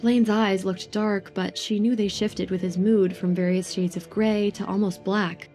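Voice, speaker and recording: A woman's voice: mid-range voice, fairly even intonation, measured pace, warm tone, faint music behind. A woman narrating a scripted romance audio drama.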